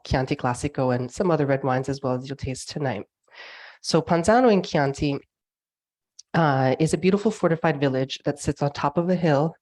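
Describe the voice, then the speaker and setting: Low-voiced Californian woman giving a lecture. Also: a little mellow. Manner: chatty, many ums and uhs. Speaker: winery representative giving a lecture. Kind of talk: online lecture with slides. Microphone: video call microphone.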